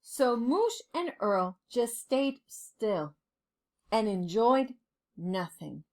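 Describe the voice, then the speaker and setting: Female storybook reader for young children; high, very animated, deliberate with dramatic pauses. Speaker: teacher reading a storybook to children. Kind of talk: expressive children's storybook read-aloud.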